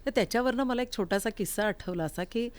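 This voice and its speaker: A woman's voice: a little high, fast, clean tone. A woman hosting a conversational interview, asking questions and telling anecdotes. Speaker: interview host. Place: recording studio.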